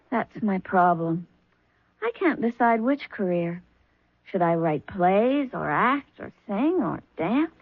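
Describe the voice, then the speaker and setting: A woman's voice: medium pitch, expressive, slow pace, husky, no room sound, heavily acted. An actress playing a breezy, restless young woman unsure of her career. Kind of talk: 1970s radio drama acting. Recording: dry, tinny old radio broadcast.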